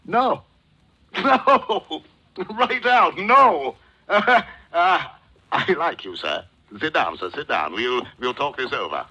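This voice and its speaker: An actor in a 1940s radio drama playing a wily, jovial middle-aged gentleman villain who talks with pompous, florid formality. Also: on the high side, sing-song, takes it slowly, breathy edge, dull audio, heavily acted. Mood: wily, genial. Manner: pompous, formal, chuckling, says "sir" constantly. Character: wily, jovial, florid fat-cat gentleman villain posing as coin dealer. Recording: old 1940s radio recording.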